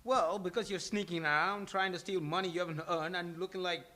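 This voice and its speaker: A man's voice: high voice, steady tone, fairly quick, sharp tone. A man playing a pompous, indignant, needling bar worker in a live sitcom table read. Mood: indignant, mocking.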